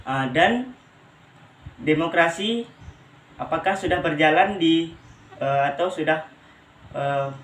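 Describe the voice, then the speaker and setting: A young man haltingly giving his opinion as a student interviewee in an echoey room. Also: a little high, fairly bright tone. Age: young adult. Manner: hesitant, halting. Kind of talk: spoken opinion to camera. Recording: echoey room with faint noise.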